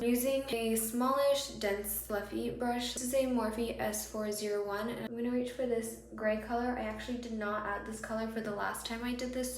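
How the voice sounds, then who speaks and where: A woman chatting her way through a makeup tutorial, her voice a little raspy because she is losing it, sometimes dropping to a soft whisper while she applies products. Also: a little high, reverberant. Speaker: beauty creator.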